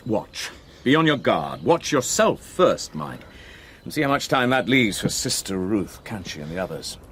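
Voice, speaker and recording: Gruff blunt guy, radio drama, pitch jumps all over, little noise behind. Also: fairly bright tone, full character voice. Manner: brusque, warning. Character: gruff, blunt, exasperated British colonial man.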